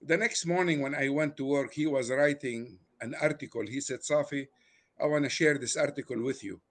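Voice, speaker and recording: A man's voice: medium pitch, a bit bright. Older Arabic-accented man telling his story. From Lebanon. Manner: halting, many fillers and restarts. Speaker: guest. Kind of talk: casual personal storytelling.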